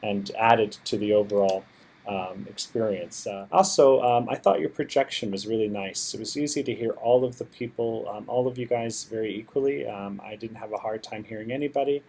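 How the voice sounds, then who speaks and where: A man's voice: fairly low pitch, normal speed, creaky, a little mellow. Hesitant, encouraging man judge, native English speaker. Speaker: competition judge. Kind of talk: unscripted spoken feedback.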